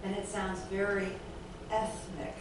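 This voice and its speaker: A woman's voice: medium pitch, level tone, a little slow. A woman hosting a small group event, introducing a speaker off the cuff and prompting listeners with short tag questions. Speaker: host introducing an author. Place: indoor event room at an arts center. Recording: very echoey, noisy room, distant microphone.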